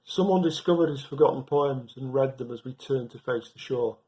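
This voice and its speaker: Male poetry reader, medium-pitched voice, measured and deliberate delivery. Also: warm tone, poor audio. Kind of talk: reading a poem aloud.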